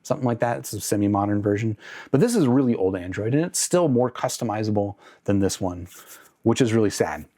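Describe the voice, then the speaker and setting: A man's voice: fairly low pitch, animated, fairly quick, mellow. A man hosting a tech review channel, talking through products in a conversational, opinionated way. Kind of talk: casual product review to camera.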